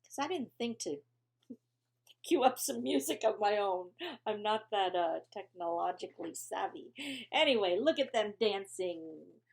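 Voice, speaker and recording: A woman's voice: mid-range voice, expressive, a little slow, gravelly. A woman teacher reading a children's storybook aloud, expressively, with chatty asides to her young listeners.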